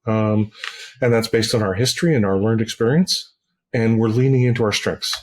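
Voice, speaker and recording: A man's voice: on the low side, fairly even intonation, normal speed, a little mellow. A man hosting an interview, speaking in brief, affirming responses. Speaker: podcast host. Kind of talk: interview conversation.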